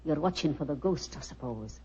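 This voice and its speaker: Dark, husky Scottish housekeeper. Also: female voice, sits low, lively intonation, full character voice. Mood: foreboding. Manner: foreboding, deliberate. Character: ominous, superstitious Scottish housekeeper woman. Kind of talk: 1940s radio acting. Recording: muffled old radio recording.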